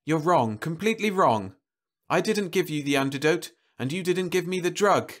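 A man reading a story aloud, voicing a young woman who speaks quietly and steadily. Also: a little high, lively intonation, normal speed, voice pushed up, natural voice. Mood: quiet, firm. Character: young woman Louise, calm and determined.

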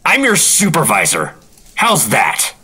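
An American man playing a firm, dutiful government operative in a 1950s radio drama, authoritative and measured. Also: fairly high pitch, huge pitch swings, pushed and bright, breathy edge, full character voice. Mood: stern, insistent. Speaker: lead actor and narrator.

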